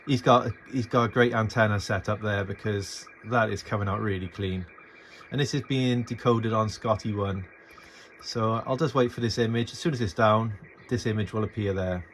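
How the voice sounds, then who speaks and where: A man's voice: lowish voice, fairly even intonation, moderate pace, a bit bright. Informal man in a noisy phone recording. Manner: informal, hesitant, thinking aloud. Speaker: amateur radio hobbyist making a tutorial video. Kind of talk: casual unscripted screen and gear walkthrough. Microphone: handheld phone filming the setup.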